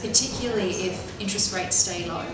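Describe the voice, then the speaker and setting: A middle-aged woman, answering interview questions in a measured, businesslike way with frequent fillers and restarts. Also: medium pitch, over a noisy crowd. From Australia. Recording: echoey room, far from mic, loud background noise.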